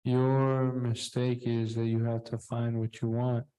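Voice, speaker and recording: Utterly flat, mellow male repeater. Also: medium pitch, a little slow, smooth, low-quality recording. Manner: flat recitation of phrases. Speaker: student repeating the lecture.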